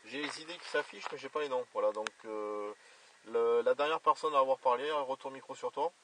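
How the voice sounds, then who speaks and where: A man's voice: mid-range voice, fairly quick, fairly bright tone. A man from southern France working as a radio operator, chatting casually with procedural call-and-reply phrasing. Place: outdoors by a roadside. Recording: distant, noisy two-way radio chatter.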